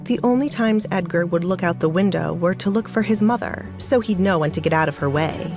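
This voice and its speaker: A woman's voice: fairly low pitch, brisk, music in the background. A woman reading scripted, dramatic story narration as the narrator of an audio fiction series.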